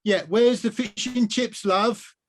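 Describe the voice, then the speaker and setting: A man voicing an impatient mother, brisk and informal in a storybook reading. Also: high-pitched, slight background noise, pitched above the performer's own voice. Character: impatient working-class mum.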